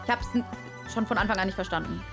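A young adult woman streaming a let's play, translating the dialogue aloud in a halting, self-correcting way with casual asides. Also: mid-range voice, gravelly. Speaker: live streamer reading a game aloud. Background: game music.

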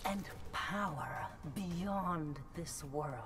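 A woman's voice: lowish voice, very slow, over loud music. A woman voicing a haughty, threatening female villain in a fighting video game, with a taunting delivery. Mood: disdainful. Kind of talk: video game character dialogue.

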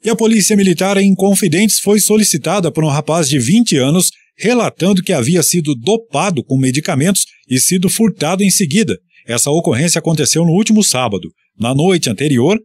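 Husky Brazilian radio newsman. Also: a little high, fairly quick, few pauses. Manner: steady formal newsreading. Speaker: radio news presenter. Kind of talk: read police news bulletin.

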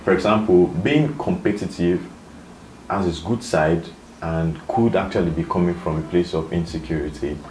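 A man's voice: deep voice, normal speed, darkish tone. A young man hosting a casual discussion, thoughtful and questioning, filling in with lots of murmured agreement. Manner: thoughtful, asks questions, lots of "mm-hmm" backchannel. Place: living room with bookshelf, indoors. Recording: roomy, distant, faint room hiss.

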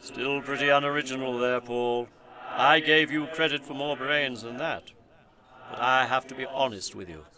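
An actor playing a world-weary Christmas spirit in an audio play, earnest, reproachful and pleading. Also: male voice, mid-range voice, moderate pace, background noise, lightly acted. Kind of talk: scripted audio play acting.

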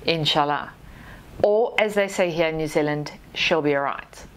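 A woman in her thirties with a Zimbabwean accent gives a prepared conference presentation in a formal, measured manner. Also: sits low, clean tone, rough recording. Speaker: army lessons manager giving a presentation. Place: small indoor studio with dark backdrop. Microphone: lapel mic.

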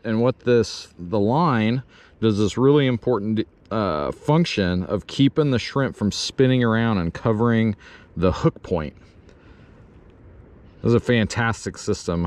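A man in his thirties explaining a bait rig and narrating his fishing from a pontoon boat outdoors, recorded on a chest-mounted action camera. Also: on the low side. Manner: slow, easygoing instruction turning excited when a fish bites. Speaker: fishing vlogger. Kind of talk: casual how-to narration while fishing.

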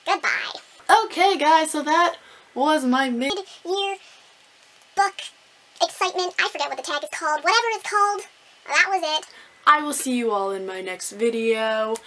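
A young woman chatting casually to camera as a book vlogger, animated, gushing and poking fun at herself. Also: high-pitched, normal speed. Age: young adult. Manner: animated, gushing, self-mocking. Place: small room at home with bookshelves.

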